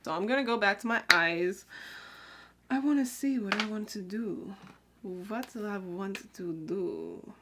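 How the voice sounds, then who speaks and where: A young woman talks to camera at home while applying makeup, loose and rambling, filling pauses with "like" and "um". Her voice sits at a middle pitch and drops softer whenever she leans in to work, then lifts again as she explains. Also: background noise. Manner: chatty, rambling, lots of "like" and "um". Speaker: beauty vlogger. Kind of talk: casual get-ready-with-me makeup chat.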